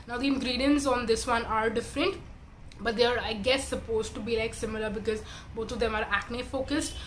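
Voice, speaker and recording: A young woman talks casually to camera, her slightly high voice raspy and congested from a cold. She rambles through a skincare review, correcting herself and filling pauses with "um" and "like." A faint hiss sits under the recording. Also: fairly bright tone. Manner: chatty, self-correcting, filler-heavy. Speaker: beauty vlogger.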